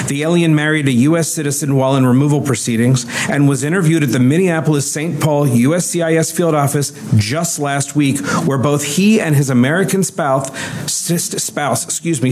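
Guy giving an official statement, kinda high voice, formal and measured, lots of background noise. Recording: clearly noisy ambient background.

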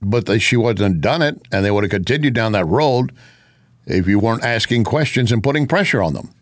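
A man's voice: fairly low pitch, lively intonation, fairly quick, no room sound, studio quality. A man hosting an opinion news show, talking conversationally and posing rhetorical questions as he comments.

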